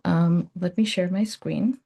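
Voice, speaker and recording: A woman's voice: on the low side, fairly even intonation, a little slow, vocal fry, mellow. A woman giving an academic seminar talk as a guest researcher, explaining her work with informal asides. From Bangladesh. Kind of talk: academic presentation.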